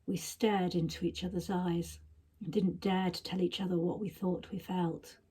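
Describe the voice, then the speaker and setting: A woman narrating a story expressively, with dramatic, measured phrasing that is often hushed. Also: fairly low pitch, level tone, husky. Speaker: story reader. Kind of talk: expressive audiobook-style narration.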